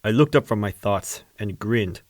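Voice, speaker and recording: An actor playing a troubled, hardened young street robber who narrates an animated crime drama in a brooding, dramatic way. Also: male voice, fairly low pitch, moderate pace, no echo, lightly acted. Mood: bitter, brooding. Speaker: narrator and main character. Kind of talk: scripted first-person narration.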